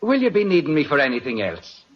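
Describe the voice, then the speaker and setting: An actor playing a dry, unflappable police officer with a wry, teasing manner in an old radio sitcom. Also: male voice, fairly high pitch, huge pitch swings, fast talker, breathy edge, full character voice. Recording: muffled vintage radio broadcast.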